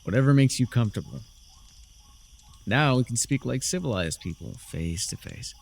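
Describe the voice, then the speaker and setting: A man playing a smug, sardonic young dark sorcerer in a fantasy ASMR roleplay, theatrical and teasing, with dry, drawling mockery. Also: mid-range voice, lively intonation, moderate pace, creaky, slight background noise. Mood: wry, coaxing.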